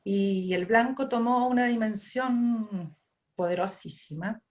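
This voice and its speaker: A woman's voice: mid-range voice, takes it slowly. Reflective Argentine woman, slightly muffled. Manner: thinks aloud, repeats and restarts phrases. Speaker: ceramic artist guest. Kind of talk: reflective personal storytelling.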